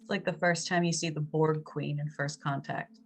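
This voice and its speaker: A woman's voice: sits low, fairly even intonation, normal speed, gravelly, slight background noise. A woman acting as game master, improvising descriptive narration for a tabletop roleplaying game. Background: music.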